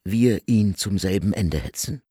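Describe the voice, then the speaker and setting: An actor playing an aging male jurist who coolly justifies himself in measured, lecturing speech, in a radio drama. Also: on the low side, dark tone, slight background noise, natural voice.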